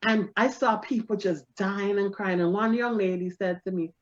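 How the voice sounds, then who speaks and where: A middle-aged woman, a stand-up comedian telling stories in a casual interview, animated and quick to laugh. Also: medium pitch. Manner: animated, laughs often, self-deprecating. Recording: dull lo-fi recording.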